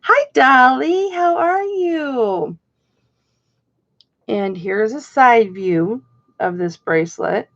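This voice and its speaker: Slow, gravelly-voiced woman auction host. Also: sits high, animated, lo-fi sound. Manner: chatty, greets viewers by name. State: slightly hoarse. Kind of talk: casual live-stream auctioneering.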